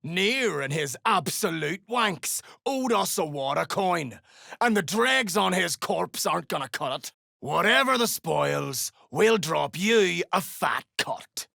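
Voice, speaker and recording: An actress playing a foul-mouthed, menacing female duergar mercenary boss in a video game, with a gruff, growling, threatening delivery. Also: on the low side, animated, slow, fairly bright tone, dry recording, clean studio sound, heavily acted. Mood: gruff, scheming. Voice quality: gravelly, growling. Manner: gruff, crude, slangy, threatening. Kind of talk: video game character dialogue.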